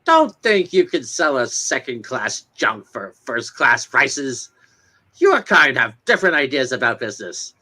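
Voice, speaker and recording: A man's voice: mid-range voice, very animated, measured pace, pushed and bright, heavily acted. A man playing a hostile, suspicious small-town customer, curt and accusatory, in a dramatic comic-book reading. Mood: accusing.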